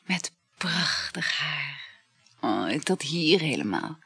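Breathy, coy, flirtatious woman. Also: deep voice, big pitch swings, slow, bright, forceful tone, dry recording, exaggerated voice. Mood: boastful, dreamy. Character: flirtatious, teasing woman boasting about her lovers. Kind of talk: Dutch radio play acting.

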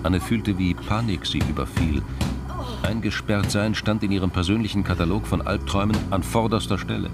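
A man's voice: low-pitched, brisk, over loud music. A man delivering scripted suspense narration as the narrator of a radio drama.

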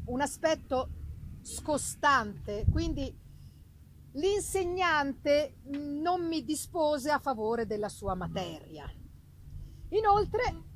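A woman giving a dramatic literary narration in the first person, deliberate and theatrical, pausing for effect. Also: high-pitched, noisy background. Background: live musical accompaniment.

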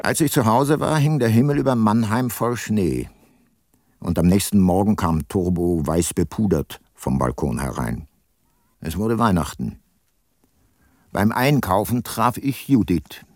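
An actor playing a weary, guilt-laden ageing private detective and former prosecutor in a radio play, sober and reflective. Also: male voice, lowish voice, animated, measured pace, darkish tone, no echo, clean studio sound. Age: older. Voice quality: husky. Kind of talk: first-person radio play narration and dialogue.

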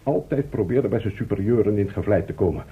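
A man's voice: lowish voice, quick pace, close to an everyday voice. An actor playing a drily sarcastic, officious and mock-polite motorcycle policeman in a Dutch radio play. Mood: mock-polite sarcasm. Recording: tinny old radio recording, hissy noise.

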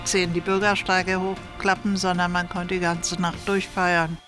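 A woman's voice: on the low side, level tone, unhurried, over music. A woman interviewee casually recalling old times. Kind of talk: casual reminiscing.

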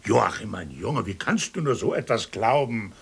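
An actor playing a testy, sarcastic radio performer who is exasperated and sharp-tongued. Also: male voice, on the low side, animated, moderate pace, creaky, slightly rough, exaggerated voice.

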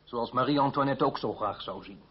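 An actor playing a confident, wry French revolutionary deputy in a radio play. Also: male voice, mid-range voice, moderate pace, slight background noise, natural voice. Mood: wry, persuasive. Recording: dull, husky old radio play recording.

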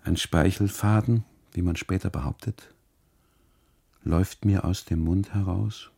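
A man's voice: low-pitched, steady tone, a little slow, gravelly, dark tone, husky. A man performing a first-person literary monologue for radio, with theatrical, emphatic phrasing.

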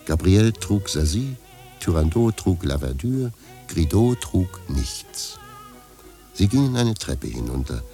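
Narrator, male: extremely low voice, measured literary storytelling with faint music behind. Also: very animated, warm tone. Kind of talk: scripted narration.

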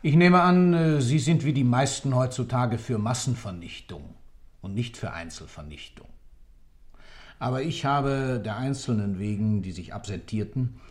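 A man's voice: mid-range voice, normal speed, natural voice. Radio drama villain, male actor: sober self-justifying executioner, formal, precise, mid-range voice. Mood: haughty, dry. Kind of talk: German radio drama acting.

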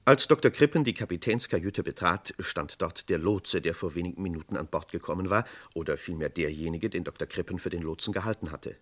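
A man with a deep voice serves as narrator. He reads scripted true-crime narration in the style of 1960s radio. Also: a little fast, hardly any pauses, fairly bright tone.

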